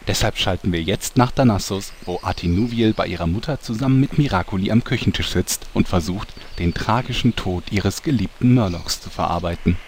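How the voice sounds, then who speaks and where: A man's voice: low voice, fairly quick, few pauses. A man playing the knowing storyteller of a fantasy soap, reading scripted mock-dramatic narration. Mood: mock-solemn. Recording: clearly noisy amateur recording.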